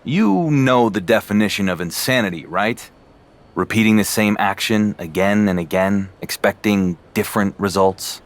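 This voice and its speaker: A man's voice: lowish voice, moderate pace, lightly acted. A man playing a cocky, cool-headed wrestling champion in a game cutscene, with a dry, cutting manner.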